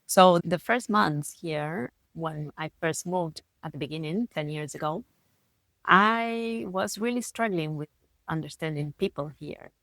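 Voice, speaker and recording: A woman with an Argentine Spanish accent talks in a thoughtful, earnest way, leaning slowly on the words that matter to her. Her voice sits fairly low. The recording is close and dry, with no room sound around her. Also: fairly bright tone, dry recording. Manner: thoughtful, earnest, with slow deliberate stresses. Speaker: podcast host. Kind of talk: reflective solo podcast talk.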